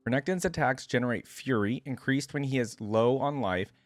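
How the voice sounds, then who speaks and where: A man's voice: mid-range voice, moderate pace, no room sound. A man doing casual reaction commentary, thinking aloud with lots of filler "like" and reading game text aloud. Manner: thinks aloud, hedging with frequent "like". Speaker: reaction video host.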